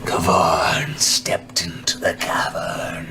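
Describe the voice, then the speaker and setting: A man voicing an ominous, halting alien creature in a breathy whisper for a tabletop game. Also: sits low, level tone, noisy background. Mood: ominous, hushed. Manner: halting, drawn-out phrases.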